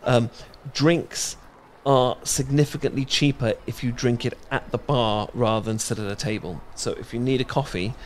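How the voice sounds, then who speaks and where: A man's voice: mid-range voice, fairly even intonation, normal speed, hardly any pauses, slight background noise. A young British man in a romantic audio roleplay, talking shyly with many fillers and restarts. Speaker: ASMR audio roleplay performer.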